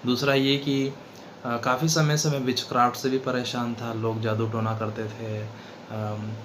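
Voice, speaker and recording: A man of 39 with an Indian accent gives an unscripted religious testimony, halting often and switching between two languages. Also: medium pitch, little pitch movement. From western Madhya Pradesh, India. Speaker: seminar participant giving a testimony. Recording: clearly noisy background.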